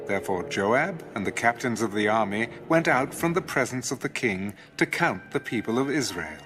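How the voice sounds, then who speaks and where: A man narrating scripture in a solemn, deliberate, dramatic style. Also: mid-range voice, animated, a bit bright, faint music behind. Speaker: audio Bible narrator.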